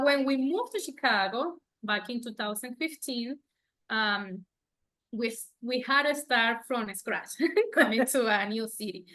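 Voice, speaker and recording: A woman with a Venezuelan accent and Spanish as her first language speaks in a fairly high, very bright voice. She tells personal stories casually as a guest in an interview. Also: rough recording. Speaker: guest, bakery owner.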